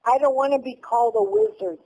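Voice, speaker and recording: A woman's voice: sits high, lively intonation, fairly quick, warm tone, rough recording. A woman in her seventies chatting as a guest, answering plainly and unhurriedly. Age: 70s. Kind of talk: casual chat.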